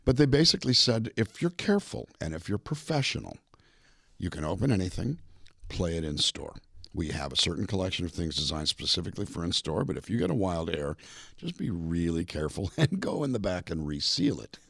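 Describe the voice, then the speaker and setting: Deep-voiced older man telling stories. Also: brisk, vocal fry, dry recording, studio quality. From California, US (Mexican border town). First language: bilingual English and Spanish. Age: 70s. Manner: relaxed storyteller, acts out quoted voices. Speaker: singer-songwriter guest. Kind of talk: casual interview chat.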